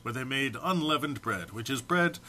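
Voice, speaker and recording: A man's voice: medium pitch, lively intonation, moderate pace, bright, forceful tone. A man informally introducing and reading comic text aloud in a mock-solemn manner, struggling to keep from laughing. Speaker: amateur reader recording for an online post.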